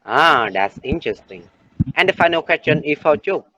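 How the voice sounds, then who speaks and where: A teenage boy with a Vietnamese accent hosting a class talk show. He reads a prepared script in a steady presenter tone. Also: on the high side, normal speed, slight background noise, poor audio. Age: late teens.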